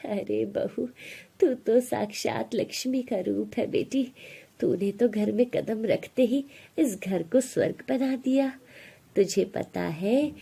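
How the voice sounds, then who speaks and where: Grateful older mother-in-law, voiced by a narrator woman: praising, affectionate, soft and breathy. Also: on the high side, full character voice.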